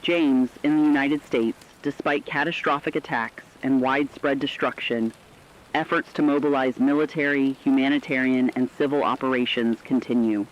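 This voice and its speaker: A man playing a stern, resolute war correspondent who delivers scripted radio news in a formal, measured, earnest way. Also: medium pitch, fairly even intonation, highs cut off, close to an everyday voice. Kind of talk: scripted dramatic news narration.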